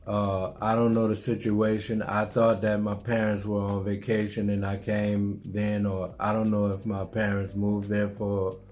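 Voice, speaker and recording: Low, flat-voiced New Orleans man. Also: soft background music. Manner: unhurried, musing, repeats himself with restarts. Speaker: vlogger telling his life story. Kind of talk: reflective personal storytelling.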